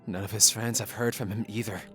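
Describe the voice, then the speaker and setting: An actor playing a worried, needling grown son in a modern audio drama. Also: male voice, on the low side, fairly even intonation, brisk, loud music behind the voice, close to an everyday voice.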